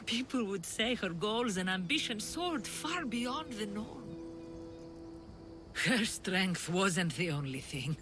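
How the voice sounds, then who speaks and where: An actress playing an earnest older mother and refugee leader in a video game, measured and wistful. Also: medium pitch, sing-song, music in the background. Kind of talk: video game cutscene acting.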